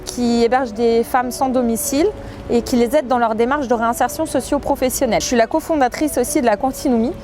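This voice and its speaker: A woman in her thirties, an entrepreneur and mother, speaking in a lively, lightly humorous way. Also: on the high side, fairly quick, faint music behind. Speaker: entrepreneur and charity president. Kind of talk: interview.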